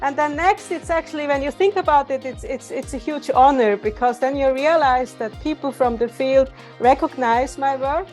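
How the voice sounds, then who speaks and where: A high-voiced woman from Switzerland talks about her research in an interview. She is lively and thoughtful, with many fillers. Soft music plays faintly beneath her. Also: normal speed, hardly any pauses. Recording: music playing underneath.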